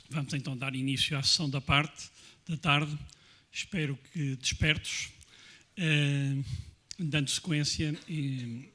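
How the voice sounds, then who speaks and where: A man's voice: mid-range voice, sharp tone. A man, moderating a conference session and reading out a formal introduction of the speaker.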